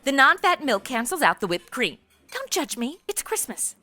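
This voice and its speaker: A woman's voice: high voice, sing-song, moderate pace, sharp tone, dry recording, heavily acted. A woman playing a snarky modern young superhero sidekick in a comedy audio drama, tossing off dry, sassy asides. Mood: demanding, sassy.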